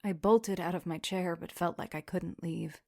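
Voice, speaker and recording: Woman acting a lower-voiced, wounded, sardonic young narrator. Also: fairly even intonation, normal speed, gravelly, mellow, no echo, clean studio sound. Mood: reflective, subdued. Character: wounded, jumpy, sardonic female narrator recalling her schoolgirl self. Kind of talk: first-person audio drama narration and dialogue.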